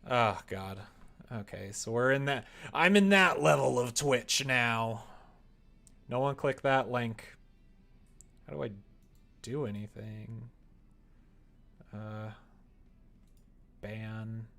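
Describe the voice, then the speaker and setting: A man's voice: mid-range voice, slow pace, gravelly, pauses often. Casual male streamer, muttering as he works. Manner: mutters to himself while working, with sudden louder bursts. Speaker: live streamer. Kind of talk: casual stream chat while working. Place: indoors at a computer desk, keyboard typing behind.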